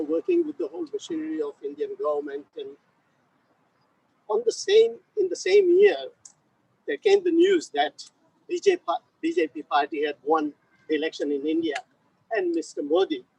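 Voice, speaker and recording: A man speaks to a gathered audience without a microphone, chatty and full of fillers. His voice is high and lively with a rough, gravelly texture. It is picked up from afar over a faint hum of background noise. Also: moderate pace, distant mic, low-quality recording. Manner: chatty, loose, many fillers. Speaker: guest speaker at a ceremony. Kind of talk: informal speech, storytelling. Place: ceremony at a statue. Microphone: no microphone, far from the recorder.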